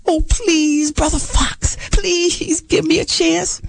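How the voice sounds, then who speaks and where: A woman's voice: very high-pitched, fairly even intonation, takes it slowly, gravelly, voice pushed up, heavily acted. A woman voicing an earnest, eager young male frog in a dramatic, insistent way for a children's story. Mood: pleading, eager.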